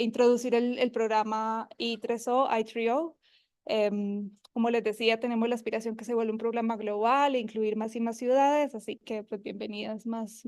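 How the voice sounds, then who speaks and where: A woman's voice: on the high side, darkish tone, dry recording, studio quality. A Colombian woman from the coffee region, a guest researcher giving a friendly academic presentation with many fillers and restarts. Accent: Colombian, from Armenia (coffee region). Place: university seminar room.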